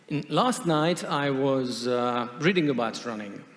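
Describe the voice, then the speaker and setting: A man's voice: medium pitch, expressive, slow. A man giving a conference talk on stage, hesitant, with many fillers and restarts. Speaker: conference speaker. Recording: long hall reverb.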